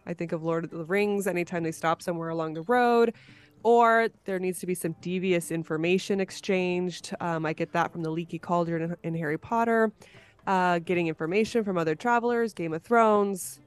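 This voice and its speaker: A woman hosts a casual interview podcast. Her voice sits a little low and sounds clean and smooth. She speaks conversationally, as in an informal chat. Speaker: podcast host.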